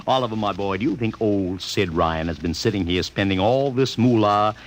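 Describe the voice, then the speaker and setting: Man playing a brash American publicity hustler, animated delivery. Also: fairly low pitch, moderate pace, natural voice. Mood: smug, expansive. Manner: slangy, breezy salesman patter. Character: brash, fast-talking American publicity man, slangy schemer. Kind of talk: mid-century American radio drama acting. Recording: noisy old radio broadcast recording.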